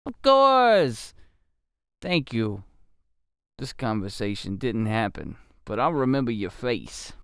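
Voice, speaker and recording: A powerful, coolly menacing official played by a man speaks in a raised, forceful voice with big swings in pitch. Every phrase is a measured, veiled threat from a noir radio play. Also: sing-song, dry recording, clean studio sound, heavily acted. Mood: cool menace. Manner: measured, smooth-worded, threatening. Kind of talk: noir audio drama dialogue.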